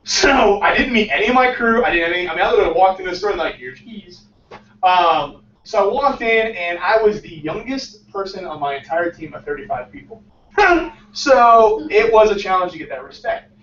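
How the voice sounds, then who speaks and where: A man's voice: sits high, lively intonation, brisk, fairly bright tone. A man in his late twenties giving a casual talk about his startup to a small crowd, acting out the dialogue in his stories and laughing often. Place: small crowded meeting room, indoors. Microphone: far camera, no visible mic. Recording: distant, roomy, dull lo-fi sound.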